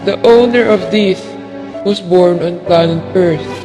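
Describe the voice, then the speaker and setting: A gentle, affectionate boyfriend in an audiobook drama, acted by a man. His voice is high for a male and very slow and deliberate. It rings with long reverb over faint music. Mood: attentive. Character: gentle, affectionate older boyfriend, a busy scientist.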